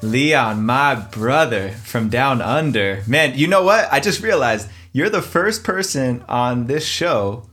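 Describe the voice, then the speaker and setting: A man hosting a podcast, speaking earnestly and enthusiastically, full of warm praise for his guest. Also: medium pitch, expressive, takes it slowly, hardly any pauses, faint music behind. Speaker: podcast host. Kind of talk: podcast intro and interview.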